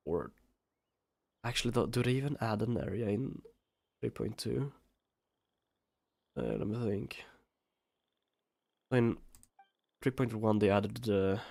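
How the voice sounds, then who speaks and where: A man's voice: lowish voice, creaky, a little mellow, no room sound. A man with a Swedish accent chatting casually on a gaming livestream, hesitant and rambling as he thinks aloud. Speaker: live streamer.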